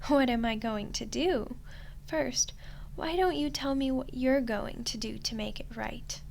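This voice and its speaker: A woman playing a smug, teasing archdemon in a roleplay ASMR style, soft and condescending, with an unhurried delivery. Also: fairly high pitch, gravelly, slight background noise. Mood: coolly accusing. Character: smug, teasing female archdemon toying with a human ruler.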